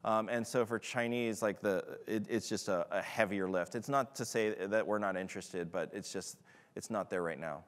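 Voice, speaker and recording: A man's voice: lowish voice, normal speed. A man answering audience questions at a tech conference, in a loose, casual manner with a lot of fillers and restarts. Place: large conference hall. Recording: long hall reverb.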